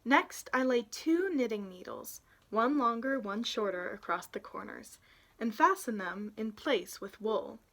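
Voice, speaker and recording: A young adult woman reading a picture book aloud expressively, in an animated way, for young listeners. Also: on the high side, a little slow. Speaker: read-aloud storyteller, youth intern.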